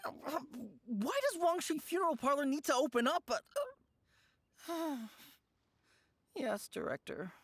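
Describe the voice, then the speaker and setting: A woman's voice: high voice, sing-song, slow pace, long pauses, no room sound, studio quality, exaggerated voice. A woman voicing a timid, nervous funeral parlor clerk in a video game, stammering and resigned. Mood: flustered.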